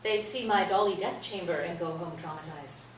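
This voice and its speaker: A woman's voice: fairly low pitch, moderate pace, lightly acted. An actress playing a sardonic, exasperated woman playwright in a staged play reading. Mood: dry, guarded. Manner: sarcastic, exasperated. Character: tense, sardonic New York woman playwright. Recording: roomy, distant stage recording, faint noise.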